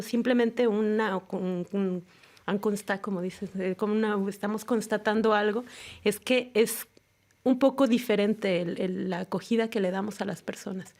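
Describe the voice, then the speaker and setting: A woman's voice: a little high, moderate pace, a little mellow. Thoughtful Mexican woman guest in a studio. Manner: thoughtful, hesitant, searching for words. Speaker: tequila ambassador, guest. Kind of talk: conversational interview answers. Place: radio studio.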